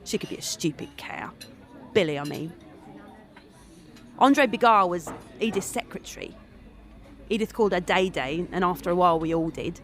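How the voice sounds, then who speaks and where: A woman's voice: on the low side, moderate pace, long pauses, lightly acted. An actress with a British accent playing a brash, earthy former streetwalker confiding her story in an audio drama. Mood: wry, confiding. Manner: wry, gossipy, blunt. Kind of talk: audio drama monologue, chatty confiding narration.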